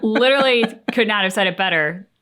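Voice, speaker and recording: A woman's voice: mid-range voice, fairly quick, a bit bright. A woman co-hosting a reaction channel, talking casually and reflectively in an earnest, articulate, emphatic way.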